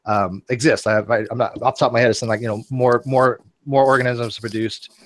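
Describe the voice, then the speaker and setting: A man's voice: mid-range voice, fairly even intonation, fast, a bit bright. A man in a casual philosophical discussion, explaining his points argumentatively as a guest debater.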